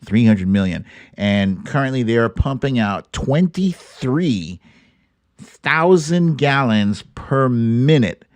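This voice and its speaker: A man hosting a livestream, giving informal, wry and halting commentary as he chats with viewers. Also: medium pitch, expressive, no echo. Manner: informal, wry, halting delivery with occasional swearing. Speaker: livestream host. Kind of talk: casual live commentary, reading viewer comments.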